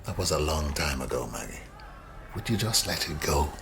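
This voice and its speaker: A man's voice: rumbling low, animated, moderate pace. An actor playing a proud, evasive middle-aged male university lecturer, dismissive and defensive, in a naturalistic radio drama. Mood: weary. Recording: radio drama with clear background noise.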